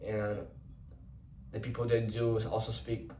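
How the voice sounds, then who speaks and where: A man's voice: fairly low pitch, completely flat delivery, unhurried, mellow, far from the mic, a little hiss or hum. A young man whose first language is Portuguese, thinking aloud in English in an unscripted personal monologue, rambling and restarting often, with some casual swearing. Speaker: vlogger. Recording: roomy echo, voice set back from the mic.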